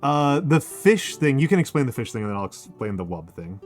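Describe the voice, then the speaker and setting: A male streamer talks loosely and at length, tripping over his first words and restarting again and again. His pitch sits in an ordinary middle range, and soft music plays faintly behind him. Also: big pitch swings, brisk. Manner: stammering restarts, wordy and self-deprecating. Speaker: streamer painting miniatures. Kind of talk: casual stream chat.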